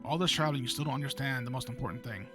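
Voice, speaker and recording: A man's voice: medium pitch, racing, natural voice. A man reading the lines of a sad, wistful small boy in a plain read-aloud manner. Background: game music.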